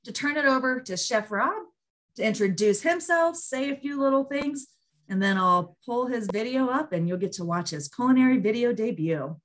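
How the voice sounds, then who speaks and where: A woman's voice: on the high side, animated, normal speed. A woman hosting an online group call, presenting in an informal, conversational webinar style. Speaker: host of an online monthly call.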